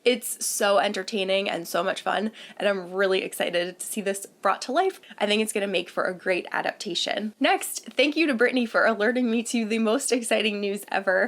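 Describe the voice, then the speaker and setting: A woman's voice: mid-range voice, gravelly, fairly bright tone. A young woman hosting a casual book news vlog, slightly sick and congested, talking in a chatty way with lots of "like" fillers. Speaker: book channel host.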